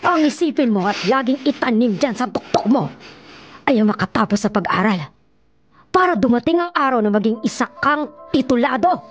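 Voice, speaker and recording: A husky, stern scolding mother. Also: on the high side, expressive, moderate pace, highs cut off, faint music behind. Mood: angry, scolding. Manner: scolding, emphatic. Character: stern, scolding middle-aged mother of grown son. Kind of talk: Filipino radio drama acting.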